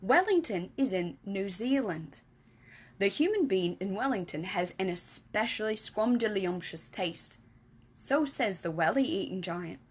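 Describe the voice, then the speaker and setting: A woman's voice: mid-range voice, expressive, unhurried, breathy edge. A woman voicing a big friendly male giant for a children's storybook, exuberant and kindly, with mangled grammar and emphatic nonsense words. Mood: muddled, blustery. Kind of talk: animated children's storybook reading.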